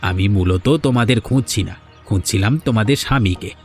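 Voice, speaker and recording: A man's voice: on the low side, fast talker, natural voice. A man voicing a landlord's sly, insolent errand man in a village cartoon, with a taunting, insinuating manner. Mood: needling. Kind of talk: cartoon voice acting. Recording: music behind the voice.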